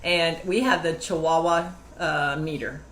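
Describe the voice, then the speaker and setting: A woman's voice: deep voice, a little slow, a bit bright, slight background noise. A middle-aged woman co-hosting a casual talk about marriage, telling stories and acting out what people said. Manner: chatty storytelling, acting out quotes. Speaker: co-host. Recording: echoey room with faint ambience.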